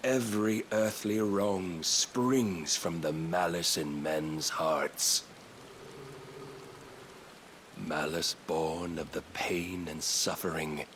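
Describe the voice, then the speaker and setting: An actor playing a sinister, contemptuous zealot villain in a fantasy video game, speaking in a grandiose, measured, sermonizing way. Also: male voice, low voice, a bit bright, a little hiss or hum. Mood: scornful, preaching. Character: sinister zealot male villain, contemptuous of mankind, preaching salvation.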